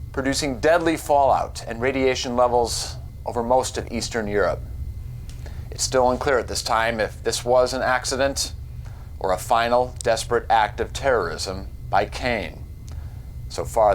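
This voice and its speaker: Actor as grave mid-pitched male news anchor. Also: close to an everyday voice, low-quality recording. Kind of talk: scripted news report in a game cutscene. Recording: faint background noise.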